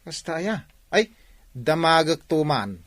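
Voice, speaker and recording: A man's voice: fairly high pitch, lively intonation, slow. A man acting in a radio drama as a hardworking villager who teases and chides his friend. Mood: scolding. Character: chiding village friend who praises hard work.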